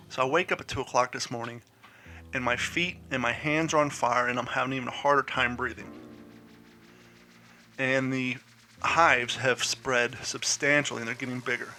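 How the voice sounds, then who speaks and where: A man's voice: medium pitch, bright, forceful tone. A man hosting his own podcast, congested from a head cold, telling a rambling personal story with frank, joking candor. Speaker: podcast host. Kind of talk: casual solo podcast talk.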